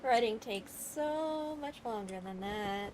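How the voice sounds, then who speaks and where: A woman giving casual let's-play commentary, informal, halting and opinionated. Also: fairly high pitch, animated, a little mellow, a little hiss or hum. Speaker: game streamer.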